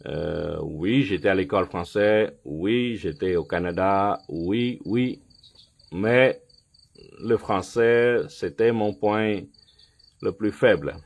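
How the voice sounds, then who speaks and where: Man, non-native speaker, sermon, very slow delivery, lowish voice, pauses and fillers, keeps asking "ok?". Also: gravelly. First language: not French. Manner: slow and deliberate, checks in with "ok?", many pauses and fillers; non-native French with some slips. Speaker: preacher. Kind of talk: sermon and Bible teaching.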